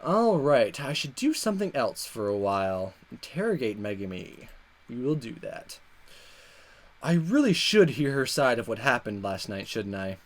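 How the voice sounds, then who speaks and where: A man's voice: medium pitch, big pitch swings, moderate pace. A man hosting a gaming video, chatting casually and playfully as he reads a visual novel aloud. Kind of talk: casual let's play commentary and read-aloud narration.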